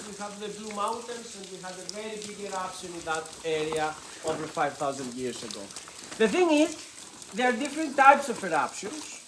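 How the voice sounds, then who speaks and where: A woman's voice: mid-range voice, noisy background. Greek-accented woman guiding a cave tour. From Greece. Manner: chatty and playful, joking with the group, loose non-native grammar. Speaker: tour guide. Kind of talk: guided cave tour talk. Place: inside a lava tube cave with a tour group.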